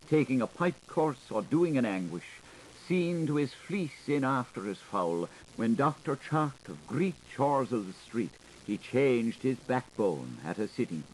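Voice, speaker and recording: A man's voice: lowish voice, rough recording. A man narrating a literary audiobook in a theatrical, playful way, with shifting emphasis, on an old tape recording. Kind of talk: literary audiobook reading, dramatic scripted narration. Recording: hissy 1980s audiobook tape.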